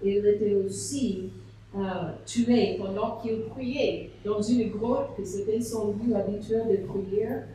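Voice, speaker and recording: A woman's voice: mid-range voice, fairly even intonation, slow, dark tone, a little hiss or hum. A woman pastor, preaching a sermon and telling a story with rhetorical questions. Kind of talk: sermon at a seminar. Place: large echoey church hall. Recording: echoey hall from far off.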